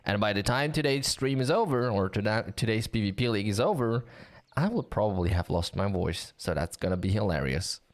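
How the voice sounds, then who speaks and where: Male stream host, sore throat, lowish pitch, chatty and upbeat. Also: expressive, a little fast. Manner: chatty, upbeat, many fillers. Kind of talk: live-stream commentary.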